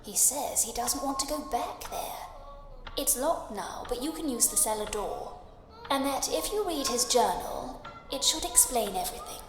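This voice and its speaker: A woman playing a caring, gently worried Victorian wife in a ghost story game, speaking in a proper, affectionate manner. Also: a little high, lively intonation, moderate pace, close to an everyday voice. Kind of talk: video game voice acting. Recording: echoey long reverb with music. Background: music.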